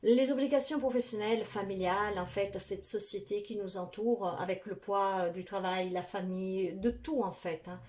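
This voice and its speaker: A woman spiritual healer speaking to her viewers in a soft, earnest, halting way, full of fillers, and sounding tired. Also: mid-range voice, a little hiss or hum. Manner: hesitant, halting, many fillers. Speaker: spiritual healer and card reader. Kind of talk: casual monologue to viewers.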